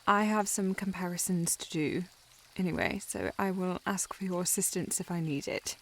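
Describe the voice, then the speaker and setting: A woman's voice: on the low side, normal speed, slight background noise, lightly acted. A woman playing a haughty young upper-class lady in a historical audio roleplay, formal and teasing in manner. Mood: gracious, composed.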